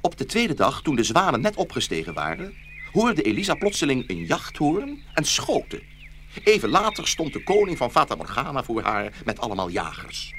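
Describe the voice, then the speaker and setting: A man's voice: mid-range voice, huge pitch swings, normal speed, a bit bright, slight background noise. A man narrating a fairy tale as a scripted storyteller.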